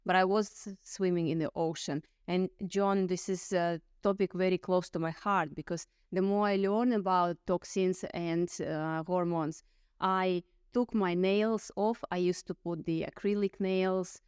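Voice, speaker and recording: Woman co-host with a very smooth voice. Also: fairly low pitch, fairly even intonation, measured pace, darkish tone, no echo, studio quality. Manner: earnest, informal, with fillers. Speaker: podcast co-host. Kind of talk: casual interview talk.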